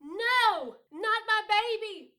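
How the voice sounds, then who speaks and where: A woman's voice: extremely high pitch, lively intonation, very slow, pushed and bright, heavily acted. A woman playing a frightened but defiant pregnant young woman in an audio drama, emotional, naive and protective. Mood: protective panic.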